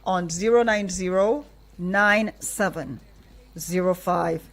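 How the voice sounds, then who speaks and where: A woman's voice: on the low side, takes it slowly. A woman with a Nigerian accent hosting a live radio programme from a studio, talking to guests and listeners. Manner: affirming interjections while guests talk. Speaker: radio host.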